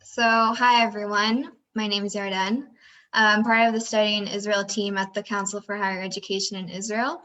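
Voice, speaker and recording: A woman with a bright voice hosts a student panel, her pitch staying fairly even. She keeps things organized and friendly, with the odd um or uh between questions. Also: moderate pace, rough recording. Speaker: moderator of a student Q&A. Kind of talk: hosting an online panel.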